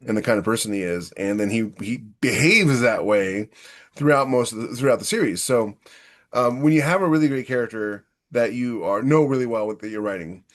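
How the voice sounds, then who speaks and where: A man's voice: mid-range voice, fairly quick. A man co-hosting a podcast, explaining his views in casual, conversational discussion. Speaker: podcast co-host.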